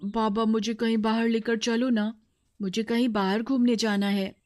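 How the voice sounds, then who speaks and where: A woman's voice: a little high, flat delivery, moderate pace, a little mellow, close to an everyday voice. A woman voicing a sweet, pleading eleven-year-old girl in a storybook tale. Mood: pleading, excited.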